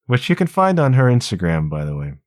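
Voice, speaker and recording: A man hosting a podcast interview, relaxed and playful, asking short follow-up questions. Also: medium pitch, fairly quick. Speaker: podcast host. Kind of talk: casual interview chat.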